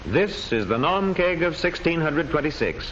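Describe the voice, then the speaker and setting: A man narrating an old educational history film from a script, in measured, declamatory storytelling phrases. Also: on the high side, very animated. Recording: dull old film soundtrack with faint noise.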